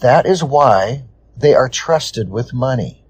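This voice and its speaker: A man reading a scripted introduction in the measured style of an audiobook narrator. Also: mid-range voice, lively intonation, husky, no echo.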